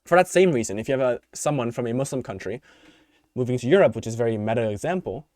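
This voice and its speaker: Young male podcaster, mid-pitch, rambling and opinionated, slightly worn voice, dead-dry recording. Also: lively intonation, quick pace, a little mellow, no echo. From United States. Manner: rambling, opinionated, many fillers and restarts. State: voice tiring. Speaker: podcast host. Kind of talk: unscripted solo commentary.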